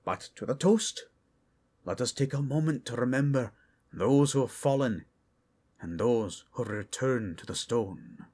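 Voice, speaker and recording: A man's voice: medium pitch, lively intonation, unhurried, a little mellow, breathy edge. A man voicing a male dwarf warrior making a solemn, ceremonial toast.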